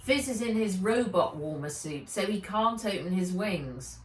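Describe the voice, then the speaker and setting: A woman's voice: medium pitch, expressive, a little slow, reverberant, recorded from a distance, lightly acted. Woman playing a frank, prickly young girl. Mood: brisk. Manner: sharp, frank. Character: plain-spoken, prickly young heiress girl.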